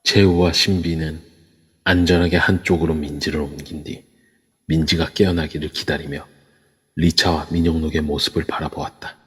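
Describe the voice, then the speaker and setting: Man, very low voice, flat delivery, drama narration, long reverb. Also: moderate pace, husky. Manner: even, storytelling.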